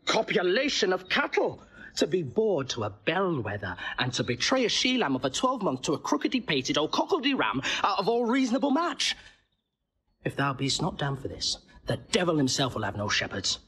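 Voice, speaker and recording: Scornful shakespeare jester, husky, swoops around a lot, on the high side. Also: male voice, fairly bright tone, exaggerated voice. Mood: mock-outraged. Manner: theatrical, mocking, wordy. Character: witty, mocking male court jester, quick and scornful. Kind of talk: Shakespearean stage comedy acting.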